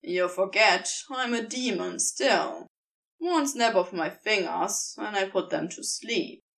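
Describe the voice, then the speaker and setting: A woman doing a sardonic male demon, pitched low and pushed harder, with a sneering, prickly bite. He speaks slowly against a distant-sounding mic, a storybook demon always on the defensive. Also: creaky, fairly bright tone. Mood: snide. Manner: snide, sneering.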